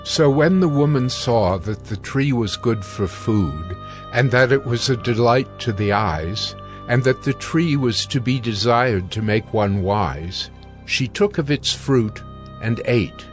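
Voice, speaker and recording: A man narrating scripture in a slow, steady, deliberate reading. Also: medium pitch. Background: music.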